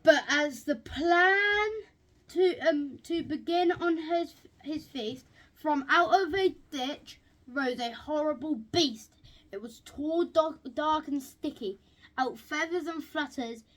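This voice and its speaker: A woman reading a rhyming children's picture book aloud, haltingly, stumbling and repeating words as she goes. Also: high-pitched, poor audio. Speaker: storybook reader.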